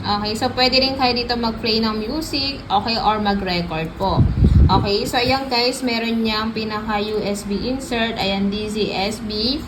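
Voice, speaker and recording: A Filipino woman with a fairly high voice demonstrates a product in a casual, chatty selling style. She keeps checking in with her viewers as she goes. There is clear background noise on the recording. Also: a little slow. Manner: chatty, repeats filler tags and addresses viewers. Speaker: product reviewer and live seller. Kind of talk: casual product demo and sales pitch.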